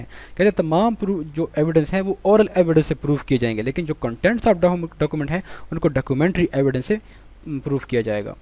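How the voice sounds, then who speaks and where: A man's voice: medium pitch, a little mellow. A man with a Pakistani accent teaching an exam-prep law lesson, reading out items and then explaining them with rhetorical questions and repetition. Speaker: law lecturer.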